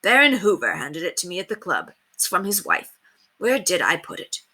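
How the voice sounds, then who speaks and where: A woman's voice: fairly low pitch, wildly swooping pitch, moderate pace, bright, forceful tone, full character voice. A woman voicing a blustering, offhand upper-class husband from a storybook, breezy and distracted. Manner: breezy, distracted, tags sentences with what and eh. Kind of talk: storybook character voice read aloud.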